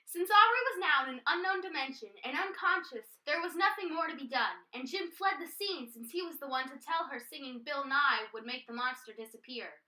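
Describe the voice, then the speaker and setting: A woman's voice: high-pitched, fairly quick, hardly any pauses. A teenage girl reading aloud a scripted story and presentation as a student storyteller. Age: teens. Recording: distant mic, loud steady background noise.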